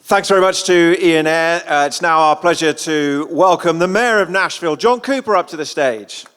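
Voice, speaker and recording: A man's voice: on the high side, normal speed, a bit bright, clean tone. A man announcing speakers formally at a ceremony. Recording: long echoing PA reverb.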